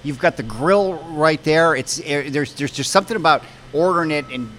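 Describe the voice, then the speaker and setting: A man hosting a casual on-location interview in a restaurant, speaking haltingly and repeating words as he goes. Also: on the high side, fairly bright tone, slight background noise. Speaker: interviewer, show host. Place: inside a pizza restaurant.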